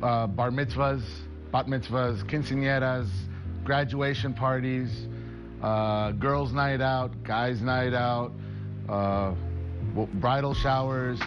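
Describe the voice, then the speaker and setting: A man in his forties talks up his workshop classes in a cheerful, chatty sales voice with a mid-range pitch and a few wisecracks. He is close on a lapel mic in an indoor workshop, and loud background music runs under him the whole time. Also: fairly even intonation, slow pace, highs cut off. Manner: upbeat, chatty, joking salesman tone. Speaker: woodworking shop owner. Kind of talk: promotional interview to camera.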